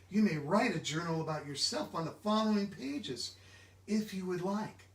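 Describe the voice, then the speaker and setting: A man reading a children's book aloud, sometimes stumbling over long words. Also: sits high. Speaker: book reader. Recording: echoey room, distant microphone.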